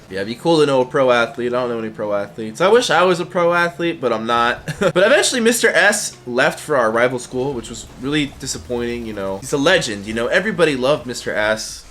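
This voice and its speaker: A man's voice: mid-range voice, expressive, a little fast, a bit bright, a little hiss or hum. A young man in his twenties tells a casual story in a rambling way, full of "like.". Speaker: vlogger.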